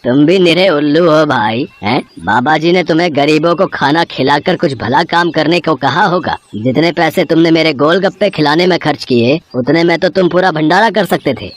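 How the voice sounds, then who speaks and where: Man acting boastful street-food seller, higher voice. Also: moderate pace, hardly any pauses, close to an everyday voice. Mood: mocking. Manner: boastful, dismissive. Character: proud, rude, greedy male street-food seller. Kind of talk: acted Hindi moral-story cartoon dialogue.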